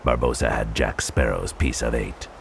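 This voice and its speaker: A man narrating an adventure storybook with dramatic, measured phrasing. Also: rumbling low, vocal fry, a little hiss or hum. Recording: loud film score and effects behind.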